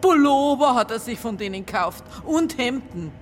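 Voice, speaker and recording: A woman's voice: on the high side, expressive, normal speed, faint music behind, lightly acted. A woman playing a blunt, unsentimental widow in a radio drama, with a Bavarian accent and earthy, colloquial speech. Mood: matter-of-fact, scornful. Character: blunt, matter-of-fact widow of a murdered small-town man. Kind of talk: naturalistic radio drama acting.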